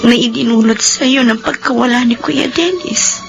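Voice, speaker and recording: A woman's voice: fairly high pitch, moderate pace, vocal fry, highs cut off. An actress playing a caring younger sister in a radio drama, urgent and worried as she comforts her. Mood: concerned. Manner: urgent, coaxing, concerned. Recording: radio drama with music behind.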